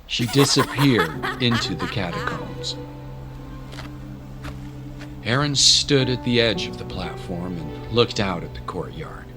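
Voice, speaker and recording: A man narrating a dramatic scripted story in a measured, solemn manner. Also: sits low, lively intonation. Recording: dramatic music bed. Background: music.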